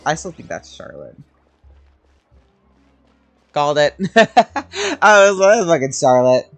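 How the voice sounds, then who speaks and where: Low-ish, hugely animated woman streamer. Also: takes it slowly. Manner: amused, sweary, teasing. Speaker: game streamer. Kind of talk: casual reacting to game dialogue. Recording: game music behind.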